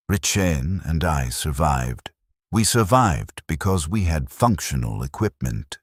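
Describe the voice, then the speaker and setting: Very deep-voiced grim soldier played by a man. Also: no room sound, studio quality, heavily acted. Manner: clipped, formal, detached, report-like delivery. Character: guilt-burdened soldier, a logistics corporal, confessing coldly. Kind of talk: scripted first-person war story narration.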